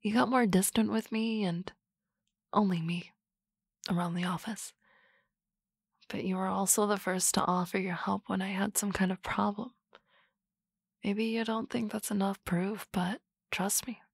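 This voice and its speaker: Teasing lady boss whispering close to the mic, woman doing asmr roleplay, husky and a bit gravelly. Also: medium pitch, fairly even intonation, unhurried, pauses often, dry recording. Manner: teasing, playful, soft whispers. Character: teasing confident female office boss.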